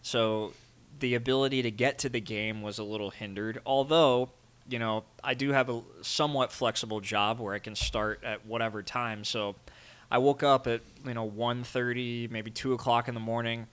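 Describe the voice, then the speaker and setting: A man's voice: medium pitch, steady tone, normal speed, a bit bright, smooth. A young man co-hosting a sports podcast, recalling games in a laid-back, easygoing way. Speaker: podcast co-host. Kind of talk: casual sports chat.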